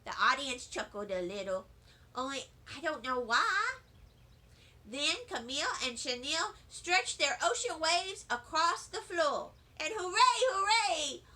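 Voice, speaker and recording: A woman's voice: high voice, expressive, a little slow, sharp tone. A woman reading a children's book aloud, narrating in a chatty, wide-eyed first-grader voice. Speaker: storybook reader.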